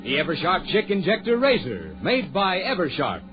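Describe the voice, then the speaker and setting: A man's voice: high-pitched, wildly swooping pitch, moderate pace. A man announcing a sponsor in a 1940s radio commercial. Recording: dull vintage radio recording with music. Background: loud music.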